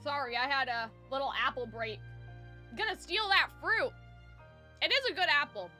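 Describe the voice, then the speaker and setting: Female streamer, very high bright voice, chatty and informal, soft music underneath. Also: normal speed. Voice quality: high-pitched when excited. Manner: rambling, chatty, giggly, slangy. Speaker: live streamer, host. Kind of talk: casual livestream chat with viewers. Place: soundproofed room at home. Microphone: close microphone. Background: faint music.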